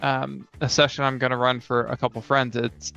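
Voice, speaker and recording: Gravelly, even-toned man in casual chat. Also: mid-range voice, normal speed, highs cut off, faint music behind. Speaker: podcast co-host and game master. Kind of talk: casual chat about tabletop role-playing games.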